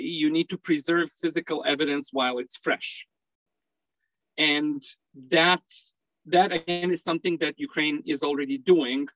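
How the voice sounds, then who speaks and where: A man from Serbia, a guest expert on a panel, explaining at a measured pace with frequent restarts. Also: on the high side, a bit bright, no echo. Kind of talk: online panel discussion. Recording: dry, muffled lo-fi audio.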